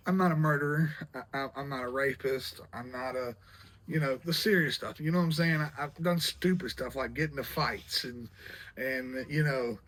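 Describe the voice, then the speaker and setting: A man's voice: medium pitch, steady tone, normal speed, fairly bright tone. A man in his late twenties chatting casually on a vlog, rambling and repeating himself with lots of fillers. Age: 20s. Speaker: vlog host. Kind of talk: casual chat.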